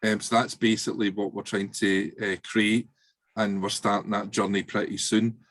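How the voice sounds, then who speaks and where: A man's voice: fairly low pitch, little pitch movement, normal speed, fairly bright tone, no room sound. A man speaking in a casual video-call discussion about volunteering, chatty and full of fillers.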